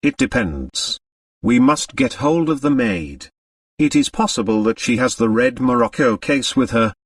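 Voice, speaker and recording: A man voicing a brisk, methodical French police commissary in an audiobook, official and reassuring. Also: mid-range voice, sing-song, gravelly, no room sound, clean studio sound, far from the mic, exaggerated voice. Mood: methodical, speculating.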